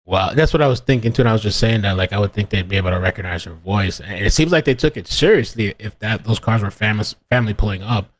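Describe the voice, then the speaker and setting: A man's voice: lowish voice, fast talker, hardly any pauses. A man speaking in casual conversation.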